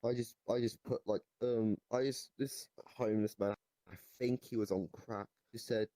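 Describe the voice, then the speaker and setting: A young man with a very deep, somewhat mellow voice improvises comedy in casual chat. He speaks deadpan and hesitantly, and the sound is very dry, with no room echo. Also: moderate pace. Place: online voice chat in a virtual reality game.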